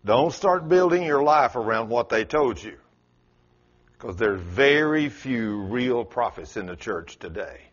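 A man's voice: expressive, measured pace, dull audio. A man with a South Texas accent preaching a sermon in a folksy, emphatic way. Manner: folksy, emphatic, swings pitch up for stress.

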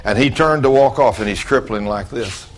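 A man's voice: mid-range voice, normal speed, faint music behind. A man, giving an informal storytelling sermon in a folksy, plain-spoken way, talking straight to his listeners. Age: late 60s. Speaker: preacher.

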